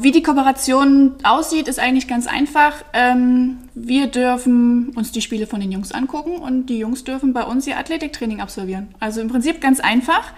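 Chatty young woman hosting a podcast. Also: on the high side. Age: young adult. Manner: chatty, laughing often. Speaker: podcast host. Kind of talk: casual podcast chat.

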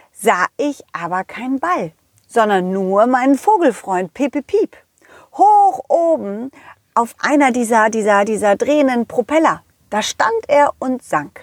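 A woman's voice: on the high side, no echo, studio quality. A woman voicing a friendly, eager male dog who narrates a children's audio story expressively, speaking directly to the kids. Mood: cheerful, storytelling. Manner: expressive, addresses children directly, halting delivery. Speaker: host and narrator.

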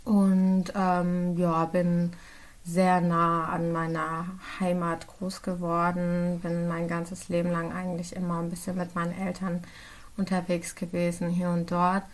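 A woman in her twenties, born in Germany, speaking as a podcast guest in a relaxed, hesitant way with many fillers and restarts. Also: lowish voice, unhurried. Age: 20s. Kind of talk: casual conversational interview.

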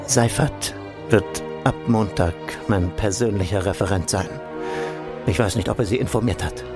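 An actor in a radio play playing a smug, cynical senior security official, condescending and deliberate. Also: male voice, fairly low pitch, natural voice. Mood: smooth, dismissive. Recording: loud music under the voice. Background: loud music.

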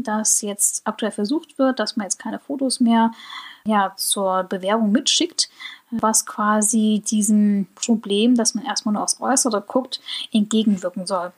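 A woman from Germany hosting a solo podcast, giving her opinions in a reflective way and slowing down on key points. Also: mid-range voice. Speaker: podcast host.

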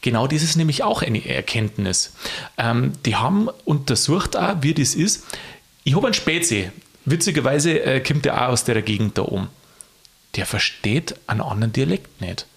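A man, chatting casually as a show host and rising in pitch for emphasis. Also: animated, moderate pace, vocal fry. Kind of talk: casual chat.

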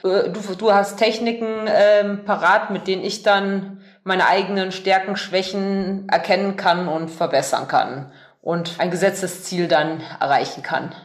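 A woman's voice: medium pitch, fairly even intonation, a little slow, roomy sound. A woman hosting a podcast, introducing her guest and asking upbeat, friendly questions. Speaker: podcast host.

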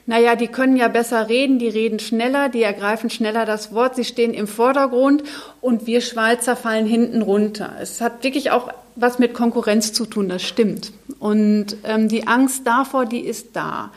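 A woman from Germany speaks as a talk show guest. She answers reflectively, weighing her words. Her voice sits a little high. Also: fairly even intonation, normal speed. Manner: reflective, thoughtful. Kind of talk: discussion answer.

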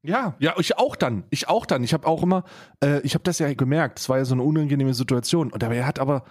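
A man's voice: medium pitch, fast talker, a little mellow, no room sound, clean studio sound. A man in casual comedic podcast banter, rambling and repeating phrases mockingly. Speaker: podcast co-host.

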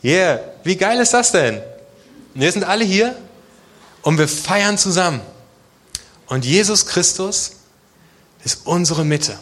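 A man's voice: high-pitched, animated, slow, pauses often, fairly bright tone. A man from Germany preaching to a congregation in a casual, conversational way, often posing rhetorical questions. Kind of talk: conversational sermon addressing a congregation.

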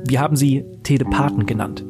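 A man's voice: medium pitch, a little fast, lightly acted. A man playing a weary, bleak mercenary survivor, narrating an audio drama in a brooding, literary way. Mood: grim. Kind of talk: scripted first-person dramatic narration. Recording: voice over game music. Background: guitar music and game sound effects.

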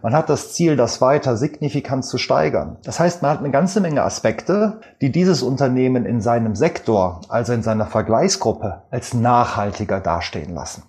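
A man with a Rhineland German accent, a guest expert giving measured, explanatory answers with frequent fillers in an interview. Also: mid-range voice, expressive, darkish tone.

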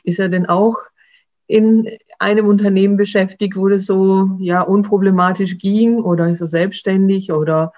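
A woman's voice: mid-range voice, fairly even intonation, moderate pace, darkish tone. A woman hosting an interview, affirming her guest and asking questions with many fillers. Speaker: interviewer, host. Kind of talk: conversational interview. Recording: slightly dull, lo-fi sound.

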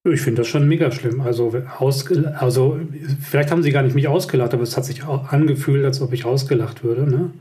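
Hesitant man with a mellow voice. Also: mid-range voice, brisk, few pauses. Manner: hesitant, reflective, with many fillers. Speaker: podcast co-host. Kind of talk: podcast conversation.

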